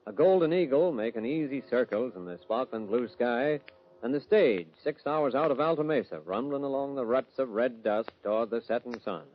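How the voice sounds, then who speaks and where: Old radio announcer guy, american, dramatic n animated, muffled sound w music. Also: mid-range voice. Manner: dramatic, declamatory. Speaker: announcer and narrator. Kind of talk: old-time radio scripted narration.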